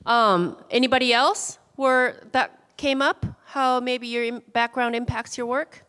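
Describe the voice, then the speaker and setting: A woman's voice: a little high, steady tone, a little slow, bright, forceful tone, clean tone. A woman casually leading a group icebreaker in a large hall, chatty with many fillers. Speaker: workshop facilitator. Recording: hall reverb from a room mic.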